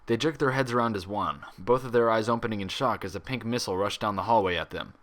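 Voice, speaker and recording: A man's voice: on the low side, quick pace, fairly bright tone. A man reading fan fiction aloud as scripted story narration.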